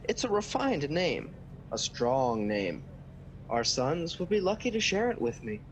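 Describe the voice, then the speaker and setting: A woman's voice: very animated, a little slow. A woman playing a proud, fond young carpenter fiancé in a radio play, playfully earnest. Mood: fond, teasing. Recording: home video call with noise and rumble.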